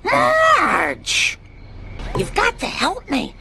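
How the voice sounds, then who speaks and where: A fretting cartoon housewife and doting mother. The voice is high and croaky, earnest, and rises and falls dramatically. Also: huge pitch swings, extremely slow, noisy background, full character voice. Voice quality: raspy. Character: worried, doting middle-aged housewife and mother. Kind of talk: cartoon game acting.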